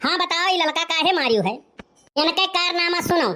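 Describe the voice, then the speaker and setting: A woman plays an exasperated village mother in a comedy cartoon, pitched very high. She has an Awadhi accent and a sharp tongue, and she scolds with heavy sarcasm. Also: animated, moderate pace, exaggerated voice. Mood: indignant. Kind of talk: comic cartoon dialogue.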